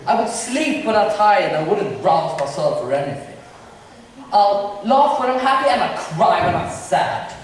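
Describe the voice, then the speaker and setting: A gloomy, bitter villain played by an amateur stage actor declaims with big swings in pitch. He broods and schemes like a resentful prince's brother. The sound is distant, in a very echoey hall. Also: sing-song, measured pace, a little hiss or hum. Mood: brooding. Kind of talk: amateur stage acting of modernized Shakespeare. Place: large echoey room, school drama performance.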